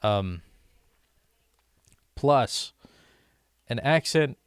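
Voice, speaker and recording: A man's voice: mid-range voice, expressive, very slow, clean tone, no room sound, clean studio sound. A young American man rambling casually to his viewers, with many restarts and fillers. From United States. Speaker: language-learning vlogger.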